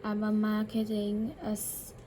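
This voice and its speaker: A woman practising English by repeating a dialogue line by line, slowly and carefully, with occasional self-corrections. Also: medium pitch, monotone, warm tone, slight background noise. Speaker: English learner.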